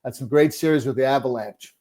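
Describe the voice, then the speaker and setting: A man's voice: mid-range voice, fast talker, warm tone. Seventy-year-old man telling hockey stories. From Montreal, Quebec, Canada. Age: 70s. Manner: hesitant, many uh fillers and restarts, chuckles often. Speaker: guest, sports broadcaster and author. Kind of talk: relaxed interview storytelling.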